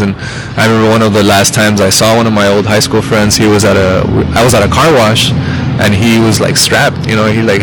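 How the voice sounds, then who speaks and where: A man's voice: fairly low pitch, noisy background. A man in his thirties, a musician guest on a podcast, talking casually and rambling with lots of fillers and restarts. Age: 30s. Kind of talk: casual interview chat.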